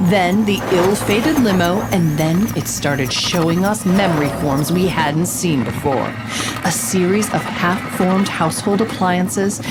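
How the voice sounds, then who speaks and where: A woman playing a bold reporter, narrating a mystery in a measured, suspenseful storytelling style. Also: lowish voice, natural voice. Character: bold female holo-caster reporter recounting a mystery. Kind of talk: scripted dramatic narration over music. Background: prominent music.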